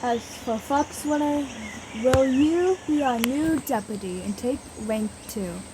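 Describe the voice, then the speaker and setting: A woman's voice: high-pitched, takes it slowly, noisy background, pitched above the performer's own voice. A woman voicing a stern male cat who leads the pack and gives firm orders. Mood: commanding. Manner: commanding, orders spoken firmly.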